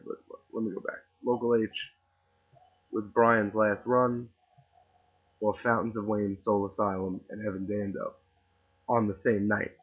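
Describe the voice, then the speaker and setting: A man's voice: on the low side, husky. A man with a New York accent hosting a podcast, ranting in an opinionated, sarcastic way and rambling with frequent restarts. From New York City. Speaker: podcast host. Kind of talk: casual ranting monologue. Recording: tinny phone-like audio.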